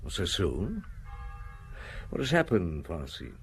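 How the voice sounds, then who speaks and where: An actor playing a shrewd, unhurried middle-aged male police inspector in a radio drama, questioning in a measured, probing way. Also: low voice, huge pitch swings, soft background music, exaggerated voice.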